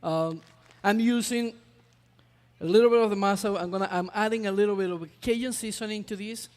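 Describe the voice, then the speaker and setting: A man's voice: high-pitched, brisk, big-room sound. A man from Ecuador, a chef chatting through a live cooking demonstration, hesitant with many fillers.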